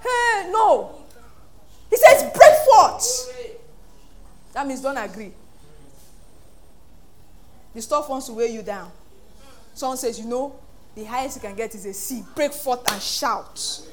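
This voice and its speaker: A Nigerian woman pastor preaching an animated sermon, acting out voices and laughing often. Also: high-pitched, takes it slowly, pauses often.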